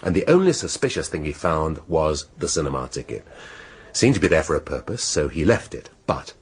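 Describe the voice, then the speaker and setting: An actor playing a shrewd middle-aged English police inspector in a radio drama, speaking deliberately and rhetorically with dramatic pauses. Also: male voice, very deep voice, big pitch swings, exaggerated voice. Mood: musing, explanatory. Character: shrewd, theatrical male police inspector laying out a murder case.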